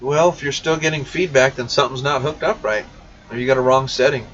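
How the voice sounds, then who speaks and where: A man's voice: medium pitch, fairly quick, a bit bright. A man hosting a casual livestream Q&A, talking bluntly and informally and addressing viewers directly. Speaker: CB radio shop livestream host. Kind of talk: casual livestream Q&A, reading viewer questions aloud. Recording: dull, distant mic, faint background noise.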